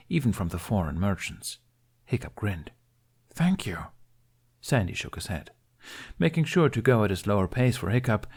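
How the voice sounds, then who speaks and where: A man's voice: fairly low pitch, darkish tone. A man reading a story aloud as scripted narration. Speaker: podfic reader.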